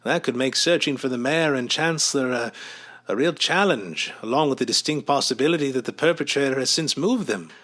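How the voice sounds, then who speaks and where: A man's voice: mid-range voice, fairly quick, fairly bright tone, close to an everyday voice. A man playing a loyal, formal and deferential butler in a superhero radio drama. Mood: polite, attentive. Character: loyal, courteous butler to an inventor hero. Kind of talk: old-time radio drama acting.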